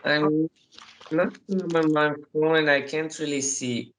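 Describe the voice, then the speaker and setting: A man's voice: fairly high pitch, fairly even intonation, slow pace, fairly bright tone, a little hiss or hum, poor audio. A young man, a student answering his teacher hesitantly over a video call. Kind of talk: answering in class.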